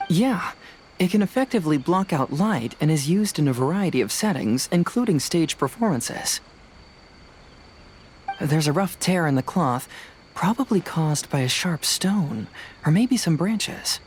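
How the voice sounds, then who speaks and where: A man voicing a youthful, analytical detective in a video game, composed and deliberate as he reasons aloud. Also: on the high side, lively intonation, a little hiss or hum.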